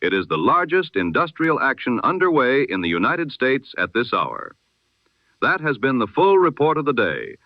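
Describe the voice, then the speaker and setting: A man reading the news in the formal, measured manner of an old-time radio announcer. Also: medium pitch, wildly swooping pitch, no room sound. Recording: lo-fi, dull radio-style sound.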